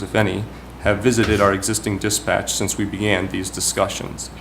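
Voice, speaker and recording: A man giving prepared, formal remarks as a town councilman at a public meeting, speaking in a measured, deliberate way. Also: lowish voice. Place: municipal meeting room. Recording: clearly noisy public meeting recording.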